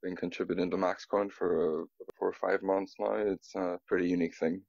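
A man's voice: sits low, level tone, brisk, gravelly, a little mellow, no room sound. A man in his twenties introducing himself casually as an interview guest. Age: 20s. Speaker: interview guest, project contributor. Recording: slightly muffled remote call.

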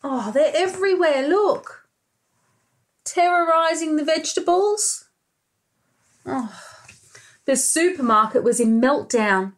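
An Australian-accented woman, a preschool teacher in her thirties, reading a picture book aloud expressively with questions and deliberate emphasis. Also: sits high, expressive. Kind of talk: picture-book read-aloud for young children. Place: small room indoors by a window.

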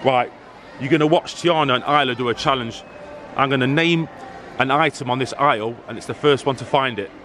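A man's voice: medium pitch, brisk, slight background noise. A man hosting a family vlog, calling out items and cheering on two girls in a supermarket. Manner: animated, laughing, shouting names and items. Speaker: vlog host filming a challenge. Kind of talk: excited family vlog commentary. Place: large supermarket, indoors.

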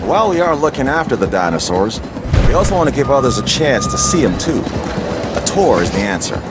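A man's voice: medium pitch, very animated, brisk, over loud music, lightly acted. An actor playing a thoughtful conservationist advisor in a video game, earnest and explanatory in his way of talking. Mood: earnest, measured. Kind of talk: video game dialogue acting.

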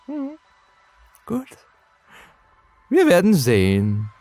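A man voicing a sinister old man in a horror story, coaxing and menacing, with slow, drawn-out words. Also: high-pitched, wildly swooping pitch, a little mellow, dry recording, soft background music, voice pushed up, full character voice. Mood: cold, threatening. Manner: slow, drawn-out, wheedling delivery. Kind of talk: horror audio story character voice.

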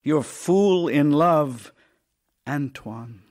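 A man's voice: on the high side, very slow, a little mellow. A man playing a middle-aged writer, wry, teasing and affectionate, in a theatrical audio drama. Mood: wry, tender. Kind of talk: audio drama acting, letters read as speech.

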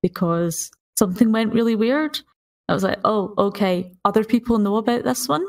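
A woman with a mid-pitched voice talks casually as a podcast co-host. She sounds set back a little from the microphone. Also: normal speed, distant mic. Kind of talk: casual chat.

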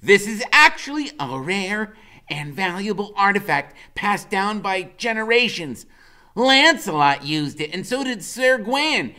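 A man's voice: high voice, sing-song, measured pace, bright, forceful tone, pitched above the performer's own voice, heavily acted. A man voicing a small, imaginative boy who talks in grand, old-fashioned knightly phrases. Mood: grandiose.

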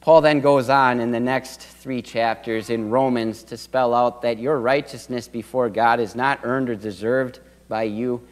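A man's voice: mid-range voice, steady tone, unhurried, hardly any pauses. A middle-aged man preaching a sermon from a church pulpit, earnest, with swells of emphasis. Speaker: pastor. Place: church sanctuary at a wooden pulpit. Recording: long church reverb.

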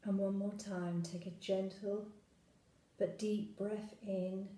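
A woman leading a guided meditation, speaking soothingly and gently in short phrases with long pauses. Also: medium pitch, flat delivery, reverberant, rough recording.

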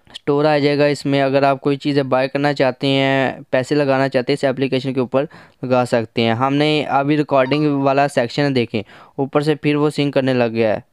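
Casting: male tech reviewer, very smooth clear voice, dry close sound, casual step-by-step explaining. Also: mid-range voice, dry recording. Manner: conversational, instructional, mixes in English terms. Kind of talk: casual hands-on phone app tutorial.

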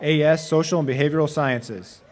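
A man announcing graduates' names and degrees at an outdoor commencement in a steady, formal way over a podium microphone. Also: fairly high pitch, normal speed. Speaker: graduation name reader. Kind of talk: reading graduate names at a ceremony. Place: outdoor stadium commencement stage.

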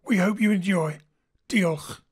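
A woman's voice: on the low side, a little slow. A woman announcer reading a scripted introduction.

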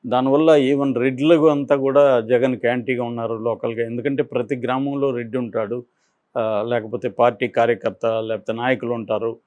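A man gives political analysis in a medium-pitched voice. He speaks unscripted, breaking his commentary into halting phrases with frequent pauses. The sound is dry, with very little room. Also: steady tone, a little mellow, no room sound, highs cut off.

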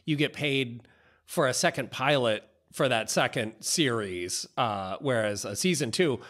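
A man's voice: mid-range voice, lively intonation, unhurried, fairly bright tone. A middle-aged man co-hosting a podcast, explaining things in a casual conversational style. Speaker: podcast host. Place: office room, indoors. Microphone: close podcast microphone on boom arm.

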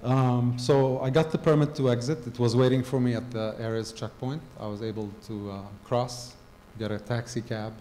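A middle-aged man from Gaza telling a personal story to a conference audience into a handheld mic, halting with frequent ums and restarts. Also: medium pitch, fairly even intonation, warm tone, a little hiss or hum. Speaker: conference speaker. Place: large hall with a stage. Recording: long hall reverb, faint ambience.

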